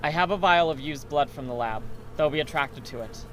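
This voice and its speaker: A man's voice: fairly high pitch, brisk, fairly bright tone, slight background noise, close to an everyday voice. A man acting as a practical male survivor in a horror audio drama. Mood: tense. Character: male survivor scavenging amid a bedbug plague, practical.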